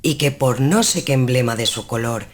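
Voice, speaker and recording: A woman playing a proud, cold young noblewoman in a gothic tale, haughty and deliberate in her formal speech. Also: a bass voice, lively intonation. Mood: coy, feigned regret. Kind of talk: dramatised gothic tale acting.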